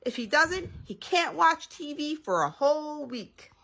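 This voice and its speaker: A woman's voice: high-pitched, expressive, a little slow, fairly bright tone, pitched above the performer's own voice, full character voice. A woman voicing a frantic, bargaining mommy in a child's exaggerated story, urgent and pleading.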